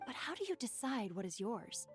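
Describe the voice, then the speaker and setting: A woman's voice: fairly high pitch, big pitch swings, moderate pace. A woman voicing an ancient, devoted djinn for a video game, speaking in a theatrical, eloquent and formal way. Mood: tender, probing. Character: ancient djinn woman, grand, wistful, devoted to her lost mistress. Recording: music behind the voice.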